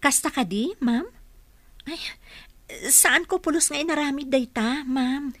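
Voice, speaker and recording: A woman's voice: high-pitched, a little slow, no room sound. A woman with an Ilocano accent playing a plucky, defensive young clerk who questions and talks back in a radio drama. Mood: defensive, puzzled.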